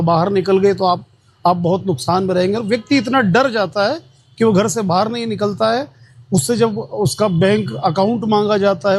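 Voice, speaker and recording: A man's voice: sits high, a little mellow, breathy edge, no room sound. A man giving a conversational, explanatory public awareness appeal to the listener.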